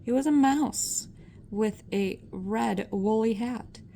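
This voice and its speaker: An older woman reading a children's story aloud as a storyteller, measured and expressive. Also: fairly high pitch, gravelly, slight background noise.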